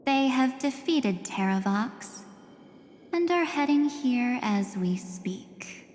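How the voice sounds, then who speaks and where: An actress playing a cold, self-assured, enigmatic female antagonist in a video game, speaking in measured, coolly threatening phrases. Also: sits high, lively intonation, a little mellow, a little hiss or hum. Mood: cool, dismissive. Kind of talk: dramatic video game voice acting. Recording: long cavernous reverb.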